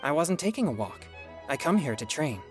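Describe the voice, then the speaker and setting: A woman voicing an earnest, reserved teenage boy exorcist in an anime game, speaking politely and with measured care. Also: deep voice, very animated, over loud music.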